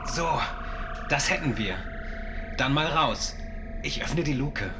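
A man's voice: medium pitch, lively intonation, slow pace, loud music behind the voice. A man playing a confident starship captain in a German sci-fi audio drama, commanding his crew in a casual way.

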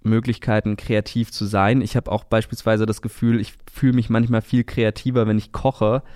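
A man's voice: lowish voice, little pitch movement, normal speed, a little mellow, no echo, clean studio sound. A man co-hosting a podcast in a conversational style. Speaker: podcast co-host.

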